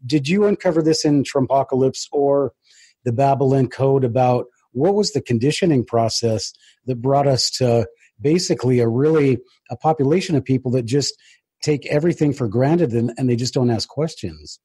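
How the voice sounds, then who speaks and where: A man's voice: mid-range voice, moderate pace, mellow, slightly rough. A man hosting an interview in a casual, conversational way, asking long rambling questions with restarts. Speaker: interview host.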